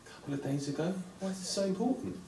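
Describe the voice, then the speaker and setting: A man's voice: sits high, animated, rapid-fire, far from the mic. A man reading the part of a defensive, concerned young friend at a drama table read, recorded from afar in an echoey, noisy room.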